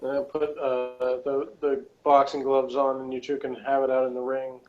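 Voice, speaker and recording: A man chatting casually as a panel reviewer of a TV episode, halting and repeating words as he argues his point. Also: medium pitch, monotone. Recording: slightly dull, lo-fi sound.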